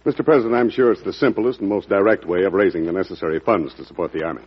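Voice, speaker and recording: Earnest treasury secretary, quick-talking and husky, muffled old radio sound. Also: male voice, sits low. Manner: earnest and persuasive. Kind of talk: 1940s radio acting. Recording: dull, lo-fi old radio recording.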